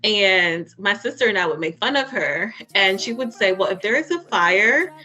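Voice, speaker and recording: A woman's voice: mid-range voice, lively intonation, normal speed, bright, forceful tone, poor audio. A woman from Honduras whose first language is Spanish, co-hosting a casual chat podcast and often affirming and laughing. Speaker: podcast co-host.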